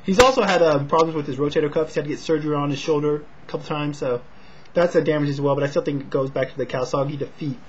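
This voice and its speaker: An American man chats casually as an amateur sports commentator. His voice sits a little high and sounds husky, with a quiet, hesitant delivery that is slightly short of breath. The home recording is dull and lo-fi. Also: fairly even intonation, quick pace. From Sacramento, California, United States. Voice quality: husky, not loud. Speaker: amateur boxing commentator and vlogger. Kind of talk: casual talk to camera, fight preview and prediction.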